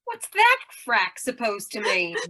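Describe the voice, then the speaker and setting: A woman playing a tough, defensive carnival aunt in an old-time radio drama, blunt and sharp-tongued. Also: medium pitch, wildly swooping pitch, measured pace, slight background noise, heavily acted. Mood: defiant, bitter.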